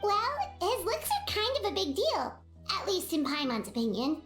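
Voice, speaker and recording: Game companion fairy: very high squeaky childlike voice, chirpy and opinionated, roomy echo. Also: female voice, normal speed, soft background music, full character voice. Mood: opinionated, puzzled. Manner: chirpy, expressive, refers to self by name. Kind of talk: video game voice acting.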